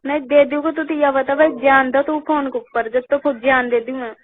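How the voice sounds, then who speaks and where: Woman, high voice, Rajasthani accent. Casual, complaining phone chat with repetition, heard through a thin, narrowband line. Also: steady tone, normal speed, slight background noise. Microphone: phone call. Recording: tinny phone line call recording.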